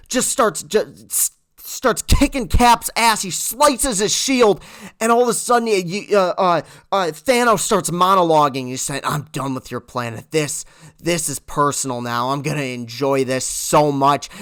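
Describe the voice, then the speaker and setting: Excitable man recapping a movie. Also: sits high, clean tone. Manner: rambling, animated storytelling with swearing. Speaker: movie reviewer. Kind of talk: excited, casual film recap.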